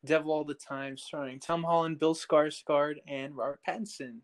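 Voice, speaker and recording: A podcast co-host talks in a casual, conversational way. The voice sits at a medium pitch. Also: fairly even intonation, moderate pace.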